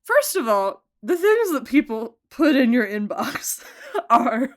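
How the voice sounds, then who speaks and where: A woman producer of an audio drama, chatting casually in an interview, animated and laughing often. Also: slow pace. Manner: animated, laughs often, jumps up high in pitch for emphasis.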